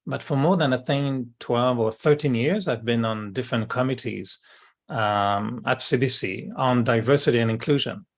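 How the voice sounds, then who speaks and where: Middle-aged man, French accent, gravelly low fry, reflective guest speaker who pauses and repeats himself. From Brittany, France. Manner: thoughtful, many fillers and repeated words. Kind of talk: reflective talk.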